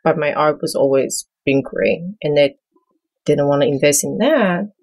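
A middle-aged woman from Taiwan with a notably low voice, speaking as a guest on a podcast. She talks in a measured, halting way and often keeps her answers short. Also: darkish tone. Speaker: guest, small business owner. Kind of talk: interview conversation.

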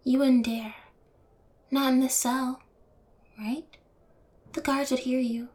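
A woman's voice: sits high, flat delivery, slow pace, a little mellow. A young woman playing a bold, twisted, spoiled rich yandere girl in prison, in an intimate, teasing audio roleplay spoken to the listener. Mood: taunting, daring. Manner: taunting, brazen, teasing, drops to breathy whispers.

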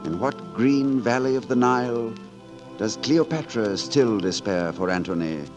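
An actor playing a gallant, witty poet-rogue turned lord constable, with courtly, theatrical delivery in 1940s radio drama style. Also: male voice, fairly low pitch, animated, measured pace, lo-fi sound. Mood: wistful. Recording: vintage 1940s radio broadcast, orchestral music.

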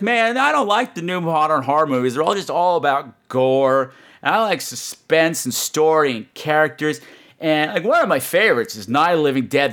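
A man's voice: on the high side, a bit bright. A man hosting a podcast in a casual, comedic storytelling monologue, rambling with sarcastic asides and some swearing. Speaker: podcast host.

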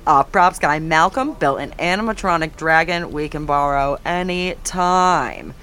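A woman's voice: low voice, measured pace, fairly bright tone, a little hiss or hum. An actress playing a matter-of-fact woman who plans the charity's events. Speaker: events planner.

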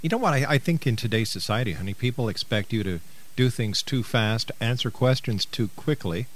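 A man's voice: medium pitch, expressive, fairly quick. A mature man hosting a radio interview in a conversational, encouraging and avuncular way.

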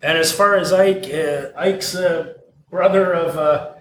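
A man who sings in a band, talking in a relaxed, hesitant way with many pauses. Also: on the high side, roomy sound, a little hiss or hum. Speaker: band singer. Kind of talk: casual chat.

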